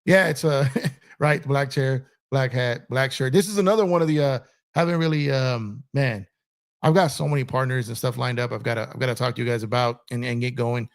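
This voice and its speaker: Man, livestream host, gravelly mid-pitched voice, casual rambling chat at home. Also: a little fast. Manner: rambling, repeats himself, many fillers. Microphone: streaming setup in a gaming chair.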